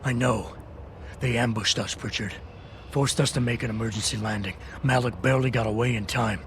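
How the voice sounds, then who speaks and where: An actor playing a brooding augmented security agent in his thirties in a video game, terse and deadpan. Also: medium pitch, brisk, slight background noise, natural voice. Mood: dry, terse.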